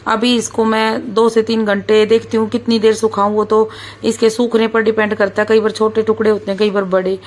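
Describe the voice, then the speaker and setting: A hoarse-voiced woman, chatting away to her viewers as she works in her kitchen. Her voice sits a little high and stays fairly flat in tone, and she drops in "bhai" over and over in a loose, homely way. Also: brisk. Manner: chatty, keeps saying "bhai", talks while cooking. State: hoarse, sore throat. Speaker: vlogger. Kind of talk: casual vlog chat.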